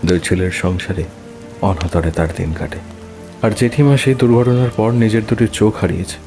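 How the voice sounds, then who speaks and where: A man's voice: lowish voice, warm tone, lightly acted. A man playing a loving, grieving soldier brother in an audio drama, emotional and pleading, repeating himself. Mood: sorrowful. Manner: emotional, pleading, halting repetitions. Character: grieving war-veteran elder brother, loving, anguished. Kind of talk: Bengali horror audio drama acting. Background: music and rain.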